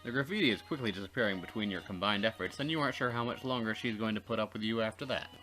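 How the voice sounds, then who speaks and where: A man reading a visual novel aloud as a Let's Play narrator, expressive and playfully dramatic. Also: lowish voice, racing, faint music behind.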